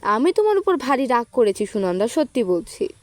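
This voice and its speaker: A woman's voice: fairly high pitch, very animated, normal speed. A woman voicing an eager, proud young male student in an audio storybook, earnest and insistent. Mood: feigned annoyance.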